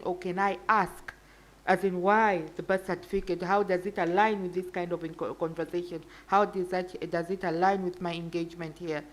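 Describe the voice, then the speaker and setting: Low-voiced woman panelist, halting speech. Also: poor audio. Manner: hesitant, many restarts and fillers. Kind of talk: panel discussion talk.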